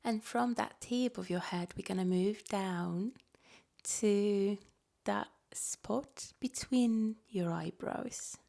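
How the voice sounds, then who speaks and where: Female meditation guide, gentle and soft-spoken, slow delivery with noticeable vocal fry. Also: mid-range voice. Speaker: yoga nidra teacher.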